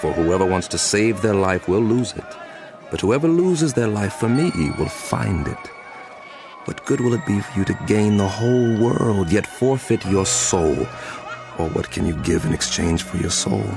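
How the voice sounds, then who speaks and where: A man's voice: lowish voice, expressive, normal speed, over music. An actor playing a grave, commanding male teacher in a dramatized scripture recording, speaking with solemn, emphatic delivery. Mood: solemn, earnest. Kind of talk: dramatized scripture audio acting.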